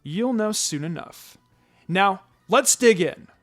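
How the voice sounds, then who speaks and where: A man's voice: sits high, animated, slow, a bit bright, faint music behind, voice pushed up, heavily acted. A man voicing an older male ninja teacher in a story, offhand, hearty and encouraging.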